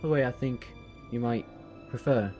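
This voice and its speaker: A man's voice: mid-range voice, normal speed, darkish tone, soft background music, close to an everyday voice. Man acting a self-assured rebel time-traveller. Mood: measured, offering. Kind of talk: audio drama acting.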